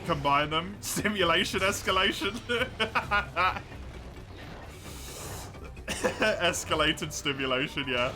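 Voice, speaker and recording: An English man chats with his stream viewers in an unhurried, drawn-out way, with a voice sitting a little high. He laughs often and pokes fun at himself. Clear music plays behind him. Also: expressive. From England. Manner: laughs often, self-mocking, swears casually. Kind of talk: casual live-stream chat with viewers.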